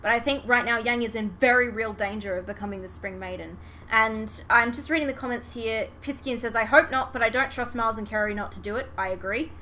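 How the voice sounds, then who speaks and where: Woman podcaster chatting fandom stuff, bright forceful voice, bit of noise behind her. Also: fairly high pitch, a little fast, hardly any pauses, low-quality recording. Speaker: podcast host. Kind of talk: casual fan discussion.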